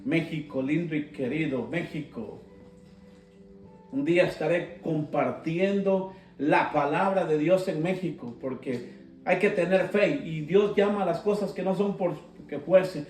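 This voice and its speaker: A man preaching a sermon. He speaks with slow emphasis and breaks into fervent shouted calls. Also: on the high side. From Guatemala. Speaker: pastor. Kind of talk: live-streamed sermon. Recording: roomy sound, mic at a distance, soft guitar.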